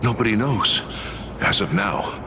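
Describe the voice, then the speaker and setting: A man voicing a courteous, grave military commander in a video game, formal and polite with slightly hesitant pauses. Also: lowish voice, noisy background, natural voice. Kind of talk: video game character acting.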